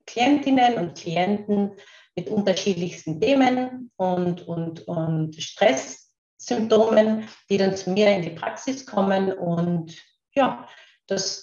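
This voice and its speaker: Middle-aged woman, Carinthian Austrian accent, unhurried and hesitant, dull roomy call audio. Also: medium pitch, creaky, dark tone. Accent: Carinthian Austrian German. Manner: slow, halting, searching for words. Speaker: guest, kinesiologist. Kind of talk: casual interview talk.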